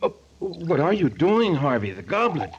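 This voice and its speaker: An actor playing a weary, nervous, gullible man who tries to seem bold, halting and sheepish, in a 1940s radio drama. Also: fairly high pitch, big pitch swings, slightly rough, exaggerated voice. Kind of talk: 1940s radio drama acting, naturalistic dialogue. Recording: muffled old radio recording.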